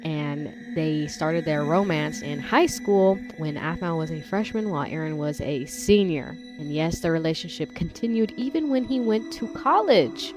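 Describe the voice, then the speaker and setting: A woman's voice: deep voice, normal speed, few pauses. A woman giving casual video commentary in an informal, opinionated way. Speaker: YouTube commentator. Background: music behind the voice.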